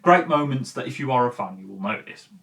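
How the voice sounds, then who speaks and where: A man's voice: medium pitch, animated, a little fast, gravelly, fairly bright tone. A man hosting an opinionated commentary show, talking conversationally and emphatically in an informal, mildly sweary way. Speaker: host of a film and games commentary show. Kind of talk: opinionated video essay talk, conversational.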